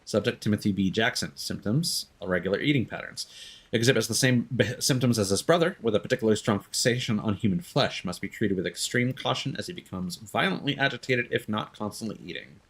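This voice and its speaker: A man's voice: lowish voice, a little fast, a bit bright, slightly rough. A man doing live video game commentary, reading game text aloud and tossing in playful quips and wordplay. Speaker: streamer playing a video game.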